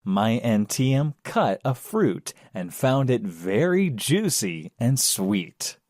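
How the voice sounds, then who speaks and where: Slow, very expressive male storyteller. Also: medium pitch, no echo, clean studio sound. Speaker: storybook narrator. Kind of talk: scripted children's story narration.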